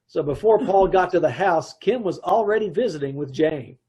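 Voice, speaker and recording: A man playing a deadpan, wry husband narrator. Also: high-pitched, normal speed, slightly rough, dull audio. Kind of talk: 1930s radio comedy narration. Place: home over a video call.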